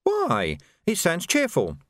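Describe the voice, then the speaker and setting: An eager young recruit, acted by a man, pitched high with a lot of movement. He sounds innocent and questioning, a storybook figure still green to the job. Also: wildly swooping pitch, slow, a bit bright, dry recording, clean studio sound, heavily acted. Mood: innocent, puzzled. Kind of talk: audiobook character voice.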